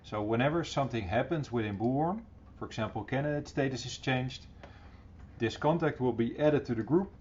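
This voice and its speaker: A middle-aged man presenting an informal, encouraging software tutorial in a casual style, recorded on a computer microphone. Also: mid-range voice, brisk. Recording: dull, slightly noisy computer recording.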